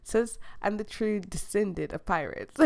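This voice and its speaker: A woman's voice: medium pitch, animated, takes it slowly, vocal fry, darkish tone. Sick woman gaming streamer. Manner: playful, giggly, chatty with viewers. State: sick, losing her voice. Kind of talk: reading a dating sim aloud with reactions.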